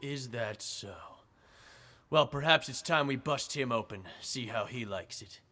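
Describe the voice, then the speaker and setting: A man's voice: medium pitch, measured pace, sharp tone. A man playing a cold, menacing gang boss with clipped, controlled delivery in an old-time radio serial. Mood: cold menace. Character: cold, menacing male crime boss; also a polite butler.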